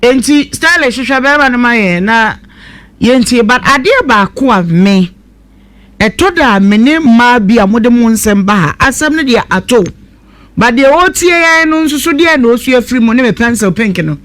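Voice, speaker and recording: A woman's voice: fairly high pitch, expressive, normal speed, a bit bright, no room sound. A woman with a Ghanaian accent hosting a live radio talk show, questioning and commenting in a blunt, probing way.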